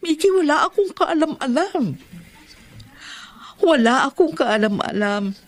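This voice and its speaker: A woman's voice: high voice, very animated, unhurried. An actress playing a heartbroken middle-aged woman in a radio drama, speaking in an emotional, pleading way. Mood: hurt. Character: heartbroken woman Nene, former mistress. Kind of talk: Tagalog radio drama acting.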